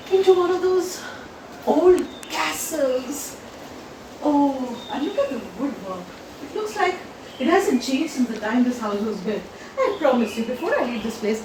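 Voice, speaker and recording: A woman with a fairly high voice speaks as an enthusiastic book vlogger. Her delivery is conversational and expressive, moving easily between chat and reading aloud. Some ambient noise from the location sits behind her. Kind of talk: chatty vlog book review with read-aloud passages. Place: on location at an old forest house.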